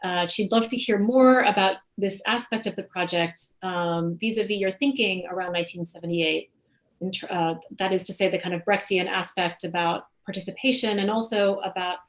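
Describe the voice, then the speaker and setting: A woman moderates a seminar Q&A, reading audience questions aloud. Her voice sits at a mid pitch, and she fills her pauses with ums and uhs. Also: poor audio.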